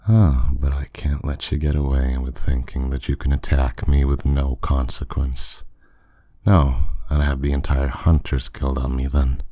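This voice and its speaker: A man's voice: rumbling low, flat delivery, a little slow, warm tone, dry recording, clean studio sound. A man playing a dominant, suave demon lord in an intimate roleplay audio drama, speaking in a commanding, condescending, teasing way. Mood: calm, menacing.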